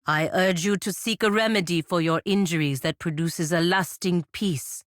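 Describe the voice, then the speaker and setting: A low-pitched actress playing a stern game warrior woman, proud and dutiful. She speaks formally and with measured weight, as if every word is counsel or admonishment. The sound is dry and studio-clean. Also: dry recording, natural voice. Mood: solemn counsel. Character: proud, stern warrior knight sworn to her republic, woman. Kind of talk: video game character dialogue.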